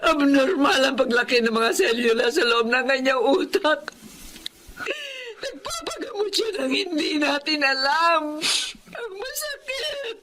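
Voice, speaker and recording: A woman's voice: high-pitched, sing-song, measured pace, background noise. A middle-aged woman with a Filipino accent playing an anguished, grieving mother of two sons in an emotional drama. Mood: sobbing, grieving. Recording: dramatic music bed behind.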